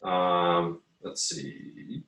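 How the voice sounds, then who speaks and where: Guy with a lowish voice doing a casual drawing lesson, kinda mumbling to himself, echoey room, mic far off. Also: monotone, sharp tone. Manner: halting, muttering to himself while drawing. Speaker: art teacher. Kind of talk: casual drawing tutorial, thinking aloud. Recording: roomy echo, distant microphone.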